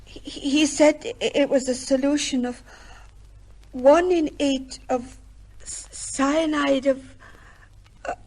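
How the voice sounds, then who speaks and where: High-voiced, timid young woman, slow and halting. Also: fairly even intonation, a little mellow. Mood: nervous, hesitant. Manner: hesitant, halting, stammering. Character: timid, deferential young working woman giving testimony. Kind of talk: old-time radio drama acting.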